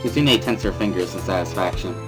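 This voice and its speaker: Low-voiced man narrating over music. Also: brisk. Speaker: amateur reader and narrator of a series. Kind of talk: first-person dramatic reading of a visual novel. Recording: prominent background music under voice. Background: instrumental music.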